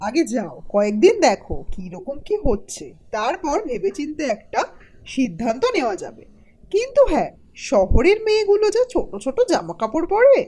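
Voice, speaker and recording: A woman's voice: sits high, a little mellow. A woman voicing a suspicious, scolding village wife in a cartoon, with a nagging manner. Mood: cautious, then jealous warning. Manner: nagging, threatening. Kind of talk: Bengali cartoon voice acting.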